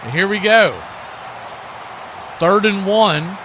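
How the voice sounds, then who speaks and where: A man's voice: high voice, expressive, slow, a bit bright, slight background noise. An American man giving live football play-by-play with casual, folksy, conversational asides on a livestream. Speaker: play-by-play sports commentator.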